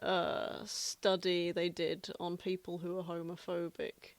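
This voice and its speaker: A woman's voice: fairly low pitch, level tone, slow, no echo. A woman co-hosting a podcast in casual chat, informal and often giggling as she talks. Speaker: podcast co-host.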